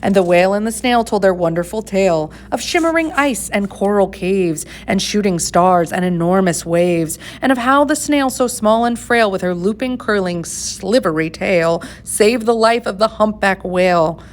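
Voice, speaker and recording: A woman reading a rhyming picture book aloud to schoolchildren in a measured, singsong way with dramatic emphasis. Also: mid-range voice. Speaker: storybook reader for schoolchildren.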